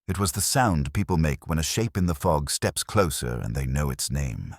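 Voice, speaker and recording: A man reading detective fiction in the first person as a narrator, with wry, measured storytelling. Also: extremely low pitch, expressive, dry recording, clean studio sound. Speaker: audiobook narrator.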